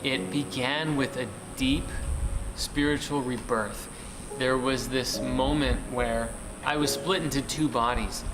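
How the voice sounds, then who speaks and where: Slow, earnest man in a reverberant room. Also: medium pitch, steady tone, over music. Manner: slow, earnest, searching for words. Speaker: ceremony participant. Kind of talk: personal testimony. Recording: roomy reverb with background noise.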